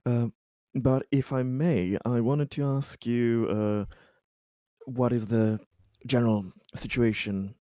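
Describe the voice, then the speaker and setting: A man playing in a tabletop roleplaying game, asking questions in a polite, halting, improvised way. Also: medium pitch, steady tone, warm tone, no room sound.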